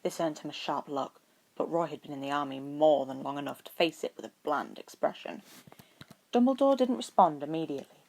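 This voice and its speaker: Amateur female audiobook reader, low voice, scripted storytelling. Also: animated, a little fast, a little mellow.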